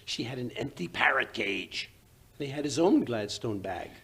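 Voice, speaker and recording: A man playing an earnest, slightly flustered gentleman sidekick in a radio drama, blustery and hesitant, with a husky voice. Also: medium pitch, lively intonation, unhurried, heavily acted. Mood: puzzled. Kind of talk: 1940s radio drama acting.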